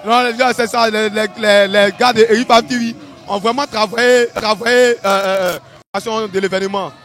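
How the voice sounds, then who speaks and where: An Ivorian man commentates a fight live in a very high-pitched voice. He is colloquial and animated and repeats his phrases as he goes. Some music plays behind him. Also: moderate pace. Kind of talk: live sports commentary, casual banter.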